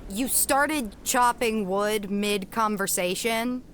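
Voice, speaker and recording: A woman's voice: medium pitch, slow, noisy background, lightly acted. A woman playing a determined, feisty young girl with a blunt, youthful manner in a family audio drama. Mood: puzzled.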